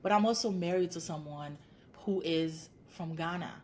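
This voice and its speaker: A woman's voice: lowish voice, moderate pace, darkish tone, a little hiss or hum. A woman psychologist from Haiti, in her thirties, answering interview questions in an earnest, encouraging way, often building her points into lists. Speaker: clinical psychologist guest. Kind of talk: podcast interview.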